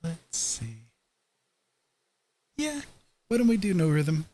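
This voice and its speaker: A man's voice: on the high side, sing-song, slow pace, warm tone. A man hosting a livestream, chatting casually and musing in an easygoing way. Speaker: livestream host.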